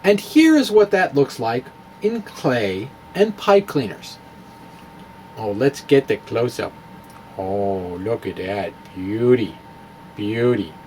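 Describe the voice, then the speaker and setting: A man giving a playful, self-mocking demonstration of math with clay and pipe cleaners. He often pauses and restarts while he works with his hands. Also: medium pitch, lively intonation, a little mellow, a little hiss or hum. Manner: wry, self-mocking, chuckles at own jokes. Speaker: physics blogger demonstrating math.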